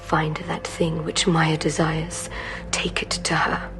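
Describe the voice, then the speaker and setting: A woman's voice: low-pitched, monotone, music in the background. A woman playing a defiant, dying prisoner in an audio drama, speaking cryptically and taunting her interrogator. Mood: cryptic, taunting. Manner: slow, cryptic, breathy whispering.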